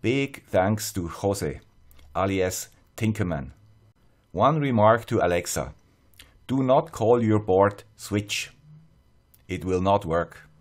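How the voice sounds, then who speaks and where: A man with a Swiss accent narrating a scripted tutorial in a measured, plain-spoken, step-by-step way. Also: lowish voice, expressive. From Switzerland. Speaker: host of an electronics tutorial channel.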